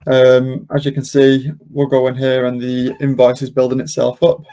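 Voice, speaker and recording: A man presenting an informal software walkthrough, explaining casually step by step. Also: medium pitch, completely flat delivery, normal speed. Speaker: software demonstrator, webinar presenter. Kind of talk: informal screen-share walkthrough.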